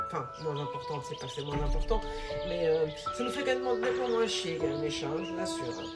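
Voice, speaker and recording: A woman's voice: low-pitched, lively intonation, fast talker, over loud music. A woman in her forties talking casually in a monologue, with an emphatic, singsong delivery. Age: 40s. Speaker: content creator talking about single life. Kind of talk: casual repeated-phrase monologue.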